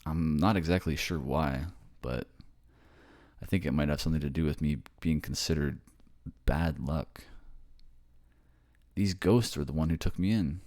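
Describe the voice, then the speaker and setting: Man acting as earnest young boyfriend, low-pitched voice, hesitant, reassuring, ASMR roleplay drama. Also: measured pace, pauses often, a little mellow. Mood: insistent, pleading. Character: earnest young man raised by ghosts, reassuring his partner.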